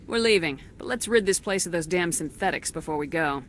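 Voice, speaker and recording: A woman's voice: lowish voice, animated, a little fast, lightly acted. Woman acting tough space commander lady in a video game, firm and bossy, bit of background noise. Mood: firm, urgent.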